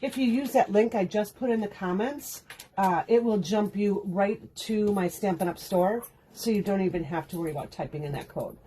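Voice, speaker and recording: A woman's voice: mid-range voice, moderate pace, warm tone. Congested woman with a nasal voice, easygoing crafting-class host who rambles and chats, light background noise. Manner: chatty, rambling, laughs often. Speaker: card-making instructor. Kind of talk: casual livestream chat.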